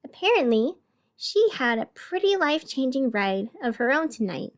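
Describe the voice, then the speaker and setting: A woman playing a radio host in an audio drama, narrating with measured, theatrical delivery and dramatic pauses. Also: sits high, very animated. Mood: upbeat reporting. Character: sleepless, over-caffeinated female radio host in strange city. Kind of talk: scripted radio fiction narration.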